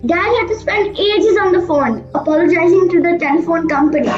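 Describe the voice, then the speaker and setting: A woman playing a sensible, bossy young sister in a radio play, brisk and scolding. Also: very high voice, soft background music. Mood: scolding, insistent. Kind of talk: amateur radio play acting. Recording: muffled, echoey room recording.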